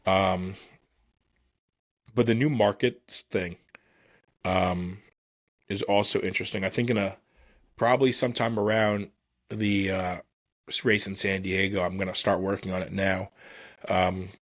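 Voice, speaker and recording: A man hosting a solo podcast, casually commenting on motorsport in a halting, think-aloud, self-deprecating way. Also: low voice, fairly even intonation, dry recording, clean studio sound. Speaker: podcast host.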